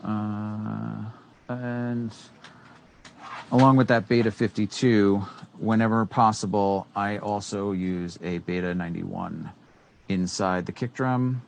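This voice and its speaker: Deep-voiced man explaining microphones casually. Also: fairly even intonation, takes it slowly, vocal fry, slight background noise. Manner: rambling, many fillers and restarts. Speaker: co-host. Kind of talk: casual educational tech talk. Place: small studio room with green screen. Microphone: studio microphone on boom arm.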